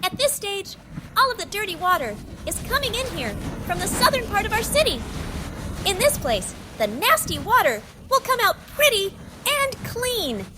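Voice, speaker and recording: A woman's voice: extremely high pitch, very animated, normal speed, noisy background. A woman voicing a tiny, friendly female water-drop puppet who cheerily explains things on a puppet show. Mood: cheerful, explanatory. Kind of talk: children's puppet show interview.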